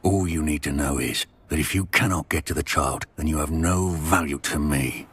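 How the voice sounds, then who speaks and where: Rich: A cold, threatening dark-wizard gang leader from a video game. The voice sits extremely deep and carries a husky edge. Every line lands like a warning. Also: male voice, moderate pace, heavily acted.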